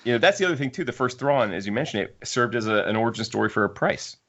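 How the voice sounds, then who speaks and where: A man hosting a casual book discussion among friends, talking haltingly and repeating words as he works through his points. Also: mid-range voice, lively intonation, fairly bright tone, highs cut off. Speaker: podcast host.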